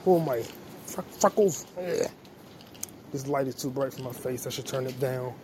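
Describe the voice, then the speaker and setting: A man's voice: medium pitch, moderate pace, noisy background. A young man hosting a podcast on his own, rambling, joking and cursing freely while he walks outside at night. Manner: profane, loose, joking. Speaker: podcast host. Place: outdoors on a quiet street.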